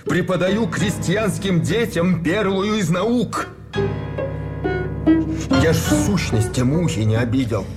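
A gentle village schoolteacher caught in soldiering, in a radio play. His voice is fairly high and slow, halting and plaintive, repeating itself in fear, with clear music behind it. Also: animated. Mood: wistful. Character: frightened, gentle village maths teacher turned soldier. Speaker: actor in a radio drama. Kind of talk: Russian radio drama acting.

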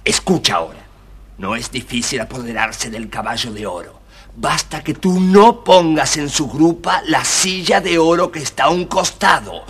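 A man voicing a clever, bossy fox who gives sly, emphatic instructions in a husky voice, in a children's story. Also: a little high, moderate pace, a bit bright. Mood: instructing. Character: clever, bossy, helpful fox guiding a prince. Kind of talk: recorded children's storybook dramatization.

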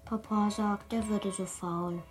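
A female performer playing a shy, obedient little schoolgirl in a radio play, breathy, stilted and reserved. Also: mid-range voice, fairly even intonation, takes it slowly, faint music behind, lightly acted. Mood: shy, dutiful. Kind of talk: German radio play acting.

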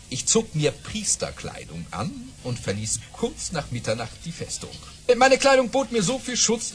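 An actor playing a boastful baron who tells tall tales in a grandiose, theatrical audio play style. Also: male voice, on the high side, fairly bright tone.

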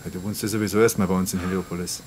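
A man's voice: low-pitched, flat delivery, racing, darkish tone, a little hiss or hum, lightly acted. An actor playing a wry, self-assured young man in a radio drama, talking dryly and casually.

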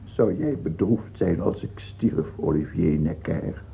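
Casting: ailing despot king, scornful and grandiose, wide swings in pitch, old lo-fi radio drama sound. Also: animated, slow, vocal fry, full character voice. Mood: scornful, needling. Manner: volatile, swinging from murmured brooding to barked scorn. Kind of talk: theatrical radio drama acting. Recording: dull, lo-fi old radio recording.

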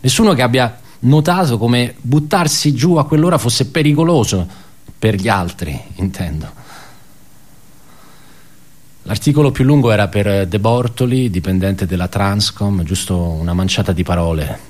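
Low-voiced man, wry bitter office worker. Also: expressive, a little mellow. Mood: ironic, reminiscing. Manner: halting, self-correcting, conversational, ironic. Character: wry, bitter male office worker recounting colleagues' suicides. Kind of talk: theatrical radio monologue, reflective storytelling.

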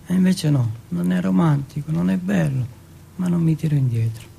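A man's voice: on the high side, moderate pace, gravelly, mellow, slight background noise. A man from performing an autobiographical monologue in a colloquial, streetwise manner. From Turin, Italy.

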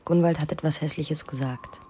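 An actress playing a troubled, stubborn young pregnant farm maid in a German radio drama, speaking gravely in formal, literary phrases. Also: female voice, deep voice, fairly even intonation, moderate pace, warm tone, slight background noise, close to an everyday voice. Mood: guarded.

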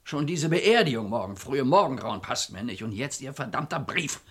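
Gruff grumbly police chief in a radio crime play, sardonic, fed up, mid pitched voice. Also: male voice, lively intonation, normal speed, fairly bright tone. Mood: irritated, uneasy. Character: grumbling male police commissioner, exasperated and wary. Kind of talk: German radio crime drama acting.

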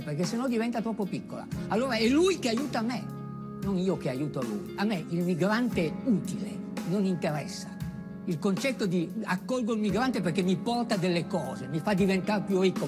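Impassioned, expressive woman over music. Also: medium pitch. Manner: emphatic, deliberate, repeating phrases for effect. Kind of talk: impassioned theatrical monologue.

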